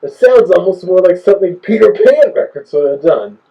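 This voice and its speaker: A man's voice: high voice, lively intonation, a little fast, lo-fi sound. A man giving casual, fault-finding commentary as a host reviewing a recording.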